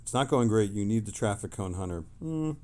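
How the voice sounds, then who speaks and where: A man casually hosting a livestream, reading messages aloud and replying in a rambling, halting way that trails off. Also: on the low side, lively intonation, warm tone.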